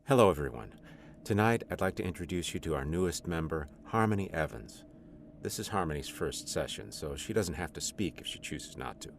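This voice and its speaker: A man playing a calm, welcoming addiction group counselor in a radio drama, with a gravelly voice. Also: a bass voice, fairly quick, a little hiss or hum, exaggerated voice.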